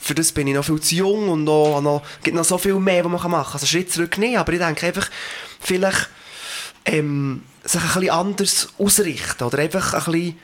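Earnest young Swiss German singer, slightly high. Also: male voice, a little fast, fairly bright tone. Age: 20s. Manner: reflective, earnest, many fillers. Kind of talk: interview answers.

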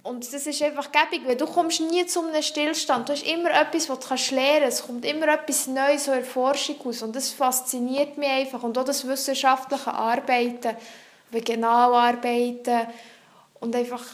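Young female student, high voice, French; earnest and explanatory, searching for words in an interview. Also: fairly even intonation, normal speed. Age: young adult. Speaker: psychology student. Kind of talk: answering interview questions.

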